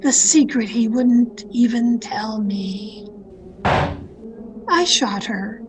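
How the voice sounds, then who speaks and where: Woman doing a jealous killer lady novelist for a radio mystery, high voice, slow and dramatic, noisy recording. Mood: resigned confession. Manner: deliberate, melodramatic delivery. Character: jealous, murderous woman mystery novelist confessing her crimes. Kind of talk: dramatic first-person radio mystery narration. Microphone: video call. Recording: noisy home video-call recording.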